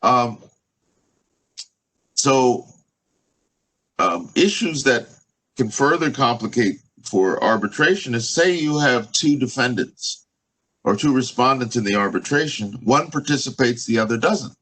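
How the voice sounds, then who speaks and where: A man's voice: mid-range voice, lively intonation, unhurried, long pauses, a bit bright, rough recording. A man from the United States, a law professor, lecturing over a video call, thinking aloud with many fillers and restarts. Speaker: law professor giving a guest talk.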